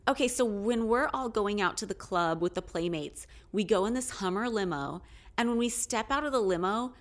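A middle-aged woman co-hosting a podcast, chatting casually in a monotone delivery. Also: mid-range voice, moderate pace. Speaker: podcast co-host. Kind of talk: casual chat recapping a reality show.

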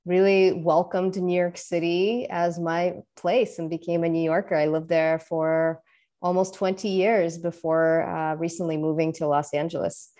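A woman's voice: fairly low pitch, flat delivery, measured pace, dark tone. A woman in her thirties from Russia, answering interview questions in an articulate way with frequent fillers. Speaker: guest entrepreneur.

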